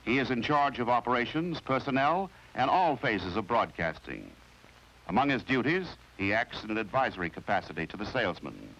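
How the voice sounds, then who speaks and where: A man with an American accent narrates a 1950s training film from a script in a formal, measured, explanatory way. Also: medium pitch, big pitch swings, a bit bright, low-quality recording. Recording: vintage 1950s film soundtrack with music.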